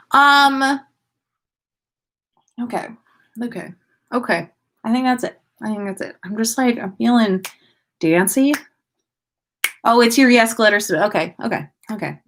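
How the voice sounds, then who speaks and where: A woman's voice: medium pitch, creaky, a bit bright. A woman hosting a casual story livestream, reading aloud expressively and chatting with listeners. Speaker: podcast host reading listener fan fiction aloud.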